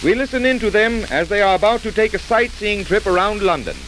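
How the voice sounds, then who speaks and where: A man's voice: sits high, animated, brisk, fairly bright tone, a little hiss or hum, low-quality recording. A man giving scripted narration in the style of 1930s radio. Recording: vintage radio recording with music.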